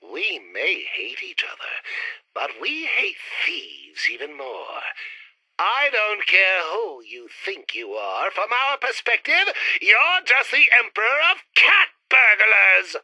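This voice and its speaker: An actor voicing an arrogant, squabbling alien scientist twin, sneering and excitable, in a retro sci-fi radio play. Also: male voice, high voice, slow, no room sound, heavily acted, poor audio. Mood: scornful.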